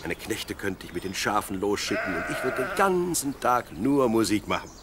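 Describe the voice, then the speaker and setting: Young shepherd boy, dreamy and plucky, very animated radio play delivery, sheep and birdsong behind. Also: on the low side, moderate pace. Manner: expressive, musing aloud to himself and animals. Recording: clear outdoor animal noise behind. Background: sheep, birds, outdoor ambience.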